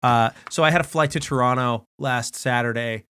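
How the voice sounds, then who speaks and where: A man's voice: medium pitch, normal speed, bright, forceful tone, clean tone. A man co-hosting a podcast, telling a casual, comedic personal story while a bit sick. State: sick with an illness. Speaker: podcast co-host.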